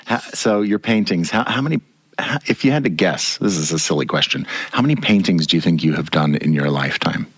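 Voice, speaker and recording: Husky, lively-voiced male podcast host. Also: low voice, fairly quick, no echo. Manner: chatty, encouraging interviewer. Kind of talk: interview conversation.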